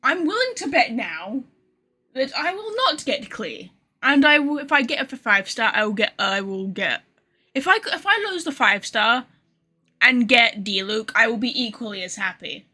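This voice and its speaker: A woman gives casual live gaming commentary. Her voice is high and bright with a rough, husky edge. She rambles excitably to her viewers. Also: moderate pace. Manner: excitable, rambling, swears freely. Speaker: game streamer.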